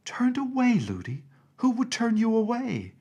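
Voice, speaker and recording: A man's voice: very high voice, wildly swooping pitch, measured pace, mellow, slightly rough, muffled recording, pitched above the performer's own voice, heavily acted. A man voicing a young princess girl who is innocent, affectionate and stubborn, with a pleading, childlike directness, in a storybook reading. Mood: upset, pleading.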